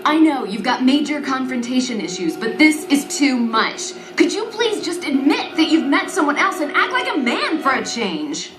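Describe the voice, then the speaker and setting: A woman's voice: high-pitched, sing-song, moderate pace, hardly any pauses. A woman playing an exasperated, scornful young girlfriend in a motion comic. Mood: exasperated, incredulous. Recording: roomy sound over clear music.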